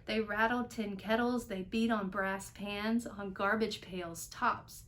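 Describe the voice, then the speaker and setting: A woman reading a children's storybook aloud in an expressive narrating style. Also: medium pitch, slow. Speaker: storybook reader.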